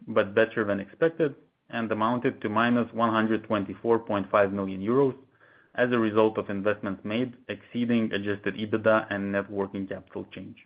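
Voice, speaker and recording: Over a narrow, phone-like conference line, a man with a fairly low voice delivers a scripted earnings presentation. He sounds like a company executive reading prepared remarks, formal and measured.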